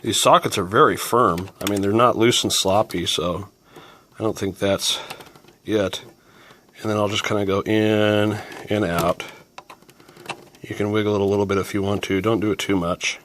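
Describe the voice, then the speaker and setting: A man's voice: lowish voice, fairly even intonation, normal speed, fairly bright tone, slight background noise. A man giving a casual hands-on repair demonstration, musing and thinking aloud as he works. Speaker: radio repair hobbyist presenter.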